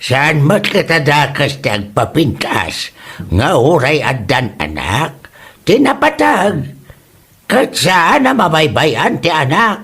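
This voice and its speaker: Man acting a slow, husky, cranky Ilocano father. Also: a little high, wildly swooping pitch, a bit bright, heavily acted. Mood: mocking, scolding. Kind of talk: comedy audio drama acting.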